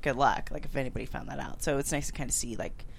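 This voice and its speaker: Female panelist, notably low register, loose conversational delivery, slight room noise. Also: quick pace, creaky. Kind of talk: casual panel discussion.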